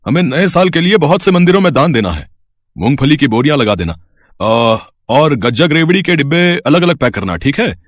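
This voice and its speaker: A man's voice: fairly high pitch, sing-song, brisk, no room sound, studio quality. A man playing a wealthy, charitable merchant with a businesslike manner in a moral story. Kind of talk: moral-story cartoon acting. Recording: faint background noise.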